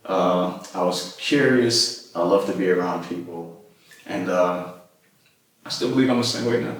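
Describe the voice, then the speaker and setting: A man's voice: low voice, unhurried. A man from Lagos, Nigeria, speaking as an interview guest and telling personal stories in a conversational way. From Lagos, Nigeria until age nine. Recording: very echoey room, distant microphone.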